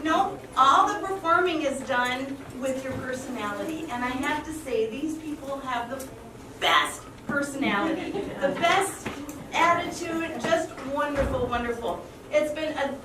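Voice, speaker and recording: High pitched lady introducing a show, gushing, echoey and far from the mic. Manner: effusive, asks the audience questions. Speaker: director introducing a community performance. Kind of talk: live welcome speech to an audience. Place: large room with a live audience. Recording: echoey room from far off, noisy.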